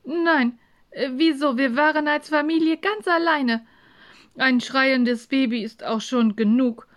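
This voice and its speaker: A woman plays a sleepy schoolgirl in a children's story. Her voice sits high and she sighs wearily through her lines, polite and sweet-natured even when worn out. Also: a little slow. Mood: tired, explaining.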